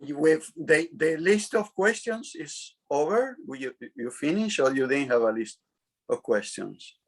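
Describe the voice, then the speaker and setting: A middle-aged man being interviewed, talking frankly in a halting, self-conscious way. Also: a little high, expressive. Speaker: interview guest. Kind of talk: casual interview chat.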